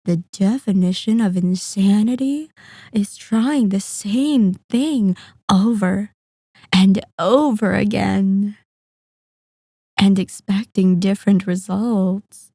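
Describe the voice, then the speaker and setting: Woman playing a very slow-talking, sadistic seductive vampire lady. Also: medium pitch, mellow, no room sound, studio quality. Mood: amused, taunting. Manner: condescending, teasing, savoring each phrase with pauses. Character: sadistic, possessive female vampire lord, seductive and menacing. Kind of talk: scripted ASMR roleplay monologue.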